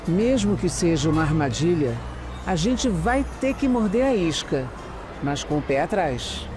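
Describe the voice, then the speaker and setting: A composed female detective narrates and talks through her case in a Brazilian accent. She is measured and analytical, in the manner of an audio drama. Music and street sounds play clearly behind her. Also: fairly low pitch, very animated. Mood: focused, wary. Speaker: lead actress and narrator. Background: music, urban street ambience with vehicles.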